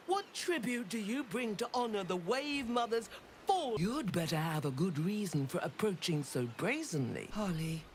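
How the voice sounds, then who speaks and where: Slow, imperious sea priestess. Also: female voice, fairly high pitch, slight background noise. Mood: imperious anger. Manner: solemn, imperious, florid preaching. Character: stern, zealous high priestess of a sea goddess. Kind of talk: dramatic fantasy game acting.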